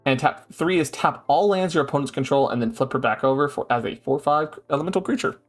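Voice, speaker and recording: A man's voice: mid-range voice, quick pace, soft background music. A man hosting a stream from his home setup, chatting casually and reading card rules aloud. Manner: animated, reads rules text aloud in bursts. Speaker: streamer host.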